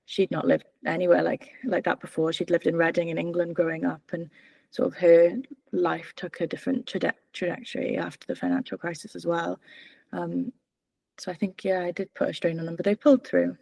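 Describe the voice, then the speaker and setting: A woman's voice: fairly low pitch, flat delivery, a little fast, creaky, darkish tone, dry recording. A woman in her twenties from Wales, giving candid, hesitant interview answers full of fillers and restarts. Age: 20s. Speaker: political candidate.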